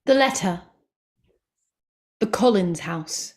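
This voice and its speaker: A woman narrates a staged reading. Her pitch is average for a woman. She reads short stage directions in a scripted narrating style. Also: expressive, takes it slowly, darkish tone.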